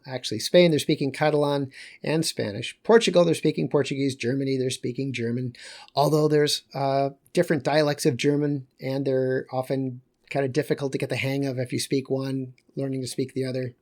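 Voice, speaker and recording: A man lecturing on geography in a loose, conversational way, pausing and correcting himself as he goes. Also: medium pitch, darkish tone.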